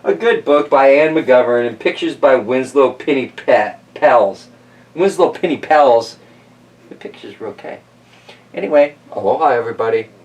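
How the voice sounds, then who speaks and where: A man reading a storybook aloud in an expressive, informal and chatty way. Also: medium pitch, normal speed, far from the mic, highs cut off, a little hiss or hum. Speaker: storybook reader and host. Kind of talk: storybook narration with casual asides.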